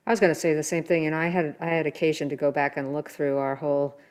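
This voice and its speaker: A woman's voice: low voice, flat delivery, fast, a little mellow. A woman co-hosting a podcast, talking in a casual, conversational way. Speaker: podcast host.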